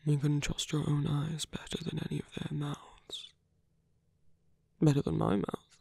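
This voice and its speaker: A man playing a seductive, calm villain leader in an ASMR roleplay, breathy and intimate as he slowly coaxes a captive hero. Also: fairly high pitch, no echo, full character voice. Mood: earnest, persuasive. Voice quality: breathy, husky, often whispering. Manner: slow, intimate, persuasive. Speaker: voice actor. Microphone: close, dry microphone.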